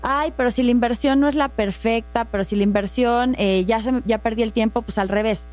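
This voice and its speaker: A woman with a Mexican accent talks through investing in a relaxed, methodical way. She lays out each example patiently and clearly. Faint music and a mains hum sit under her voice. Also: on the high side, fairly quick. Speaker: finance guest. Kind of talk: casual explanatory chat.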